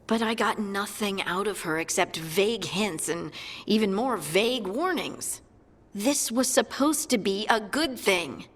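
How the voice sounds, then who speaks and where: A woman voicing an earnest, troubled young heroine with a husky voice in a video game. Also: medium pitch, expressive, unhurried. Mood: downcast, unsure. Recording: long reverb, faint music.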